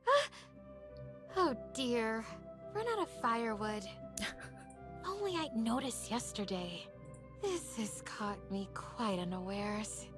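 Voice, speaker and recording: Lilting, genteel young princess. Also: female voice, on the high side, lively intonation, takes it slowly, pauses often, music in the background. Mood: flustered. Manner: genteel, old-fashioned diction, talking to herself. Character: gentle exiled young princess living alone, dreamy and proper. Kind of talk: visual novel voice acting.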